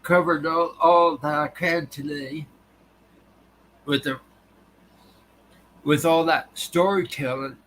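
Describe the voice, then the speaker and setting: A man hosting a casual livestream, talking haltingly with a tired, dry voice. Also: a little high, steady tone, fairly bright tone, a little hiss or hum, low-quality recording. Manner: halting, restarts often. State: voice tiring and dry. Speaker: livestream host. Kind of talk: casual live chat with viewers.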